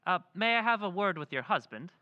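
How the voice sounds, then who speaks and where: A man's voice: high-pitched, very animated, brisk, no echo, lo-fi sound. A man playing a polite, formal, foreign-born stranger in an old-time radio drama. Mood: courteous. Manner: courteous, formal, smooth.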